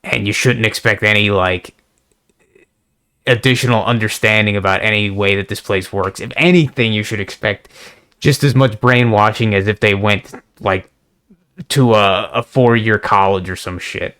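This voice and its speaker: A young man with a slightly hoarse voice, rambling casually in a monologue, full of fillers, restarts and swearing. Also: lowish voice, fairly even intonation, a bit bright. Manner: halting, many fillers and restarts; casual and profane. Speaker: video response creator.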